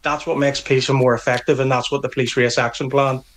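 A man's voice: mid-range voice, flat delivery, fast, a little hiss or hum. A middle-aged man with a Northern Irish accent, answering interview questions firmly and earnestly, often restarting his phrases. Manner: earnest, firm, with frequent restarts. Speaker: police association representative.

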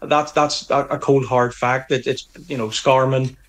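A man's voice: mid-range voice, little pitch movement, unhurried. A middle-aged man with a Northern Irish accent, answering interview questions firmly and earnestly, often restarting his phrases. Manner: earnest, firm, with frequent restarts. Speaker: police association representative.

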